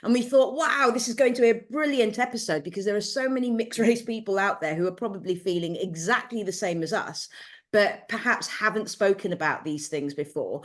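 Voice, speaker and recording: A woman's voice: mid-range voice, a little fast. A woman hosting a podcast interview, upbeat and encouraging. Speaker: podcast host.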